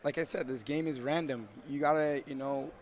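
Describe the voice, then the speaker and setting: A man's voice: fairly high pitch, steady tone, normal speed, a little mellow. A man giving rambling, casual interview answers as a team captain, his voice starting to give out. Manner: rambling, peppered with "you know". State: losing his voice. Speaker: esports team captain and player. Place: large indoor gaming event venue. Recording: crowded event venue behind. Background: crowd chatter.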